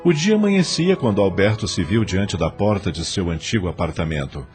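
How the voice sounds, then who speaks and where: A man's voice: sits low, animated, a little fast, music in the background. A man with a Brazilian accent narrating an audio novel as scripted, dramatic storytelling.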